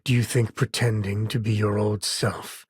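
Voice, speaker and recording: A very raspy, dry-recorded middle-aged man of the space frontier. He is a devoted father and easygoing explorer who talks in a folksy, wry way, naturally and without fuss. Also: fairly low pitch, little pitch movement, moderate pace, no echo. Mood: uneasy, subdued. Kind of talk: video game voice acting, naturalistic dialogue.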